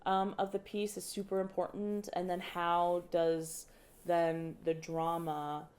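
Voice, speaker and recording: A woman's voice: on the low side, level tone, takes it slowly, darkish tone. A young woman, an opera director, talking casually in a podcast interview, thoughtful and often restarting her sentences with light laughs. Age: young adult.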